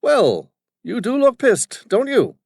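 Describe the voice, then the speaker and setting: A haughty, moneyed old businessman who sneers at anything that does not pay, put on by a male narrator. The voice sits high and swoops with scorn. It is recorded close and dry. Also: sing-song, slow pace, vocal fry, darkish tone, husky, no room sound, exaggerated voice. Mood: pompous. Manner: pompous, condescending. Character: arrogant wealthy older businessman father.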